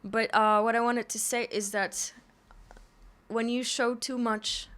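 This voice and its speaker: A woman's voice: fairly high pitch, flat delivery, measured pace, fairly bright tone. Reflective woman from Poland, chatty. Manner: reflective, many fillers, laughs easily. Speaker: podcast guest, artist. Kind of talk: casual conversation.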